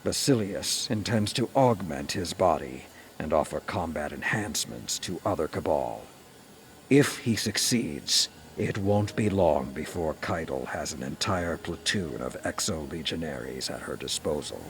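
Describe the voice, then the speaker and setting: Deep-voiced, grave military commander. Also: male voice, lively intonation, heavily acted. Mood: stern, measured. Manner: measured, authoritative, dry. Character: grave, shrewd veteran military commander briefing a soldier. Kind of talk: video game mission briefing. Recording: cinematic music behind.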